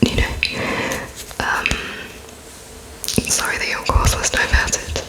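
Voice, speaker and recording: Slow, whispering woman in ASMR roleplay. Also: rough recording. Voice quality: breathy, unvoiced whisper. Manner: hesitant, halting, dictating slowly as she writes notes; gentle, polite, apologetic. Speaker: ASMR performer playing an interviewer.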